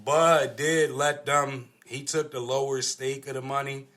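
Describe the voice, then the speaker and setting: A man's voice: mid-range voice, little pitch movement, unhurried, a bit bright. An American man hosting a podcast, talking casually about sports. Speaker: podcast host.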